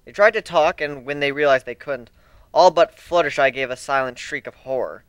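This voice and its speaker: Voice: medium pitch, normal speed, fairly bright tone, clean tone, dry recording. A person narrating a fan fiction story as a dramatic reader.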